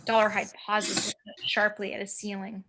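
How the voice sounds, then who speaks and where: A woman's voice: medium pitch, level tone, moderate pace, bright, forceful tone, low-quality recording. A woman reading screenplay action as narrator, with dramatic, clipped phrasing, recorded over a home video call.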